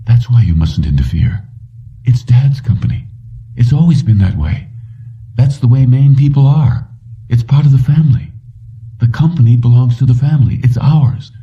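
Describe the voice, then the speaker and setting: A man's voice: mid-range voice, normal speed, voice pushed up, lightly acted. A man reading an audiobook, voicing an anxious, pleading young woman, a loyal daughter. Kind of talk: audiobook character dialogue. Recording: slightly distant, dull lo-fi recording.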